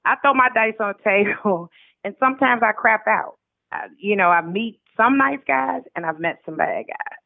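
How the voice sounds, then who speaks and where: A woman's voice: medium pitch, expressive, normal speed, creaky, dry recording. American woman on a tinny phone-like line. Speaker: interview guest, author. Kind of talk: casual conversational interview.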